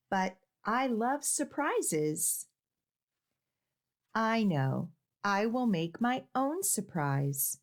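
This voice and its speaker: A woman reading the part of an eager, childlike little animal in a storybook, pleading and full of questions. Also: mid-range voice. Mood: undaunted, hopeful.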